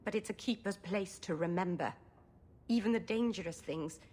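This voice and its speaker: A woman acting a gentle, naive young elf mage in a video game, earnest and a little halting. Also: medium pitch, slightly rough, close to an everyday voice. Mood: earnest, defensive.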